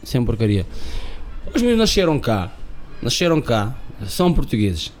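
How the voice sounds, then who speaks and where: A man's voice: medium pitch, big pitch swings, a little slow. Emphatic man, a guest from Cape Verde. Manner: emphatic, repeats words while searching for them. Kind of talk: interview conversation.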